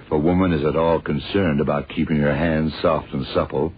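An actor playing a dogged senior investigator, insistent and deliberate, in a 1970s radio drama. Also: male voice, extremely low pitch, fairly even intonation, husky. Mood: intent. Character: dogged, hunch-driven senior male investigator, dryly authoritative. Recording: tinny vintage radio recording with music.

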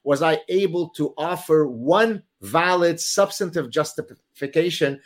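A man whose first language is Arabic, a guest author explaining his ideas in a lively, theatrical, lecturing way. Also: on the high side, unhurried. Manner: lecturing, theatrical, uses vivid examples. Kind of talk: animated explanatory talk.

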